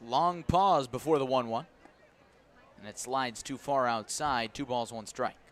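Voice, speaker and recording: A man's voice: medium pitch, unhurried, a bit bright. A man giving live radio play-by-play of baseball, in clipped descriptive phrases that rise with the action. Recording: noisy outdoor ballpark ambience.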